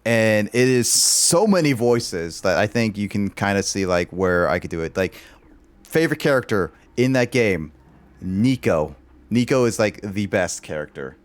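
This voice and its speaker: Low-voiced rambling streamer guy. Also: lively intonation, moderate pace. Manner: rambling, loaded with "like" fillers. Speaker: livestreamer. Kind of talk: casual livestream chat.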